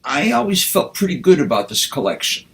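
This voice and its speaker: A man, a poet, speaking and reciting with deliberate, measured phrasing. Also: fairly high pitch, big pitch swings, gravelly, a bit bright. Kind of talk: spoken introduction and poetry recitation.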